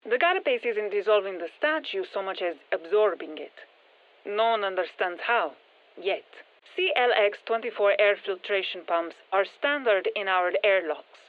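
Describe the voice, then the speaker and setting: Brisk Spanish-accented game scientist over radio. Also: female voice, medium pitch, expressive, muffled recording. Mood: matter-of-fact. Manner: matter-of-fact, technical. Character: brisk, sharp-minded female scientist studying an alien parasite. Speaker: scientist and engineer briefing operators. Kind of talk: video game voice lines. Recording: thin band-limited radio, some long hall reverb.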